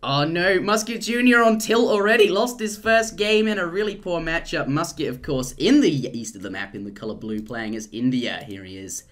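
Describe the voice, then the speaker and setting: A young man casting a live strategy game, rambling and jokey, and getting excited at big plays. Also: fairly high pitch, normal speed, hardly any pauses. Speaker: esports caster.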